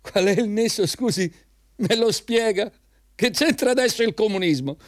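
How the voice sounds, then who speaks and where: A downcast yet sardonic job applicant in a radio play, acted by a man. He speaks in a fairly high voice, full of disbelief. The sound is close and dry. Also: normal speed, no echo, close to an everyday voice. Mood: incredulous, sardonic. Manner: incredulous, sardonic. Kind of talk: radio drama acting.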